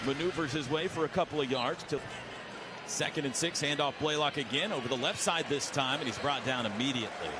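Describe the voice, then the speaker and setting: An American man calling football play-by-play on the radio in clipped, vivid, excitable phrases. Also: medium pitch, brisk, over a noisy crowd. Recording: noisy stadium radio broadcast.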